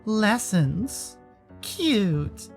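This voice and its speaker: Man voicing a slow, aloof furry swimmer. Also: high-pitched, big pitch swings, creaky, soft background music, pitched above the performer's own voice, heavily acted. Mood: jeering, impatient. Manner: slow, clipped short replies. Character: aloof, terse male furry animal swimmer, faintly jeering. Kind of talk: visual novel character voice.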